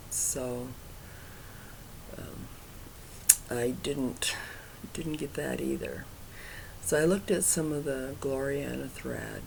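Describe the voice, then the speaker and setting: Low, gravelly woman with a froggy cough. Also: slight background noise, poor audio. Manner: hesitant, many ums, trailing off to near whispers. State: raspy cough, froggy throat. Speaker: cross-stitch vlogger. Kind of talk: casual chat to viewers.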